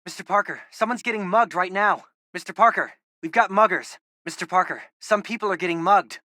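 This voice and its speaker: A man's voice: sits high, fairly quick, bright, forceful tone, no room sound, clean studio sound. A young American man voicing an eager, geeky teenage boy, a loyal tech sidekick in a video game, informal and earnest. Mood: alert, reporting.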